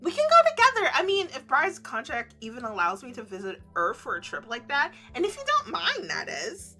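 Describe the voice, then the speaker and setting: A woman's voice: high-pitched, huge pitch swings, moderate pace, pushed and bright, faint music behind, exaggerated voice. A woman voicing an eager, apologetic young heroine in a romance game, chatty and earnest.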